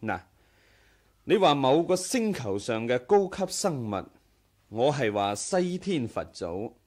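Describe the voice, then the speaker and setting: An actor with a Hong Kong Cantonese accent playing a dignified, devout Buddhist leader in a measured, formal 1980s radio drama style. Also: male voice, medium pitch, very animated, darkish tone. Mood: earnest, devout.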